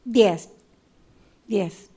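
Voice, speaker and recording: A woman's voice: mid-range voice, very slow, dark tone, breathy edge. A woman playing an insistent figure who speaks in terse single words in a radio drama exercise.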